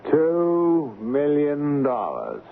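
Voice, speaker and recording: An actor playing a cautious business executive, formal, deliberate and controlled, in 1970s radio drama style. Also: male voice, fairly high pitch, huge pitch swings, gravelly, full character voice. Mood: guarded. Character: cautious, dry, measured male business executive. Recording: narrow-band old radio, faint noise.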